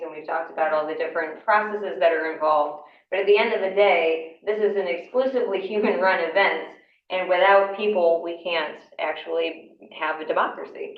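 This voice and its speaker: A woman, hoarse from a cold, talks a class through her work in a relaxed, explanatory way, pausing on fillers. Her voice sits on the low side. She is picked up from a distance in an echoey classroom with a dull, muffled sound. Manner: conversational, explanatory, with frequent fillers. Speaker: county election official giving a class. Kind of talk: informal lecture. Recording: echoey room, mic far off, dull sound.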